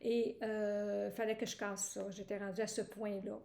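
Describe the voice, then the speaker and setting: A woman's voice: medium pitch, little pitch movement, moderate pace, creaky, darkish tone, roomy sound. A woman from Quebec with a Québécois accent, giving a hesitant personal testimonial with many fillers and restarts. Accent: Québécois French. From Quebec, Canada. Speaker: program participant giving a testimonial.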